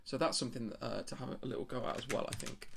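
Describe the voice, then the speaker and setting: A middle-aged man with a gravelly, fry-laden voice at an ordinary male pitch. He walks through a screen-share tutorial casually, feeling his way along and gently putting himself down as he thinks aloud. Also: steady tone, quick pace, a little hiss or hum. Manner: tentative, self-deprecating. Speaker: home musician demonstrating recording software. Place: small home room, indoors.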